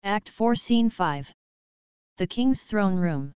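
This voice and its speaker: A woman's voice: medium pitch, lively intonation, takes it slowly, a little mellow, clean tone, dry recording. A woman reading stage directions as scripted narration for an audio play. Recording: dry, slightly dull close recording.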